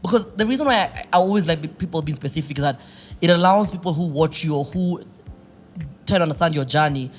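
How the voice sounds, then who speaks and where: A man's voice: on the high side, fast, slight background noise. A man with a Kenyan accent hosting a podcast interview in a casual, playful way, often repeating phrases for emphasis. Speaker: podcast host.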